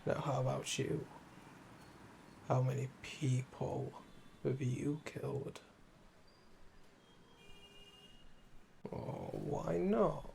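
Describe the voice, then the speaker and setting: Gravelly, slow-talking flirtatious assassin played by a man. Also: medium pitch, fairly even intonation, mellow, slight background noise. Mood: coy, probing. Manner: teasing, slow, deliberate, drawn-out phrasing with breathy murmurs. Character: calm, flirtatious, obsessive male assassin under interrogation. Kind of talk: roleplay audio drama, ASMR.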